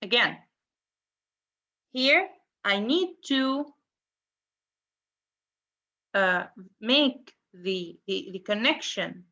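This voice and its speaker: A woman's voice: mid-range voice, expressive, drawn-out words, pauses often, pushed and bright, muffled recording. A woman with an Arabic accent, a native Arabic speaker, lecturing on translation and explaining step by step, often restarting her words. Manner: explanatory, repeats and restarts words, switches between English and Arabic. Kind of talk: university lecture.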